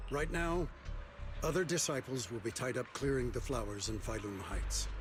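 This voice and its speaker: An actor playing a stern, uncompromising sect elder in a game, with a firm, authoritative delivery. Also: male voice, medium pitch, lightly acted. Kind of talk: game voice acting. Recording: loud background music.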